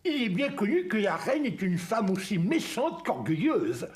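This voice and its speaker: A woman's voice: mid-range voice, wildly swooping pitch, normal speed, a little mellow. A woman voicing a wise, fair-minded little forest dweller in a French cartoon dub, speaking in articulate, somewhat formal phrases. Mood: knowing. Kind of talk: French-dubbed cartoon acting.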